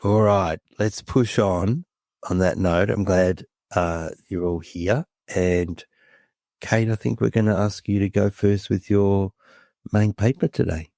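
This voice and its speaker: A man's voice: sits low, steady tone, slow, creaky, darkish tone, no echo. A man co-hosting a podcast, snuffly with a head cold, jovial and self-mocking. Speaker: podcast co-host. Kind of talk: casual chat.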